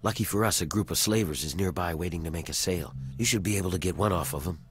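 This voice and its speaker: A man's voice: low-pitched, quick pace, natural voice. An actor playing a weary male fugitive in a video game who asks an outsider to help free his enslaved people, speaking urgently and persuasively with a wry edge. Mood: earnest. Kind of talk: video game character dialogue. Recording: game audio under faint noise.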